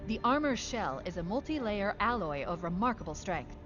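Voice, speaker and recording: A woman playing a composed, clinical military scientist with a measured, precise, authoritative delivery in an animated sci-fi film. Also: medium pitch, muffled recording, over music, natural voice. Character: composed, clinical female military scientist briefing her soldiers.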